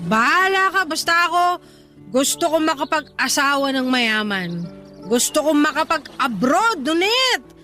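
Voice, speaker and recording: High-voiced, sassy, ambitious young Filipina. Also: female voice, sing-song, unhurried, bright, forceful tone, faint music behind, full character voice. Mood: defiant, boastful. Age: young adult. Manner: sassy, complaining, colloquial banter. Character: ambitious, restless young resort worker woman, sassy. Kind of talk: Tagalog radio drama dialogue.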